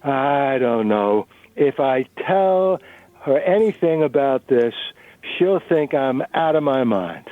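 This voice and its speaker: A man's voice: mid-range voice, animated, slow pace, no echo. A man playing an uneasy, uncertain married man in a dramatic reading, speaking hesitantly and earnestly. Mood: uncertain, wary. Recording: narrow-band radio recording.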